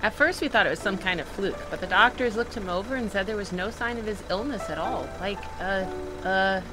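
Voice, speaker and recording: A woman's voice: medium pitch, a little fast, music in the background, close to an everyday voice, poor audio. An actress playing a neighborhood mother sharing good news in a family audio drama. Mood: amazed, puzzled.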